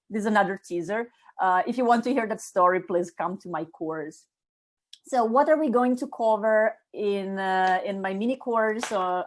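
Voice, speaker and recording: Italian-accented woman introducing her course. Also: medium pitch. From Italy. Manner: lots of fillers and restarts, enthusiastic. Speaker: course instructor. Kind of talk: casual spoken course introduction.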